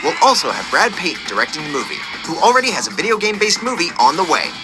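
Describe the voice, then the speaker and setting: A man's voice: a little high, big pitch swings, fast talker, rough recording. A man reading scripted countdown narration with a wry, polished presenter delivery. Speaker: video narrator. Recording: loud music under the voice. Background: music.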